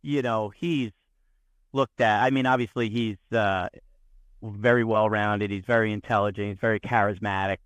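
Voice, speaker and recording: A man's voice: lowish voice, steady tone, normal speed, fairly bright tone, smooth, no room sound. A man co-hosting a podcast, chatting casually in a rambling way full of restarts, with teasing humor. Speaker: podcast co-host. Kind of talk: casual chat.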